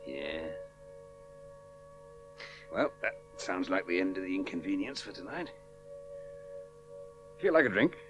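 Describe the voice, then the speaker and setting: A man's voice: low-pitched, big pitch swings, unhurried, long pauses, lo-fi sound, over music. An actor playing a wry, laconic male aviator with a drinking habit in a radio play. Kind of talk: 1970s radio drama acting.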